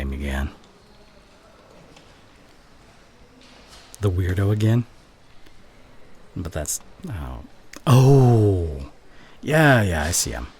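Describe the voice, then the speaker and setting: Male actor as exasperated college student, low-pitched with lively swings, halting and teasing, ASMR roleplay, some background noise. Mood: flustered, placating. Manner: halting, sighing, sarcastic. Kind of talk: ASMR roleplay acting, one side of a conversation. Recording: clear background ambience noise.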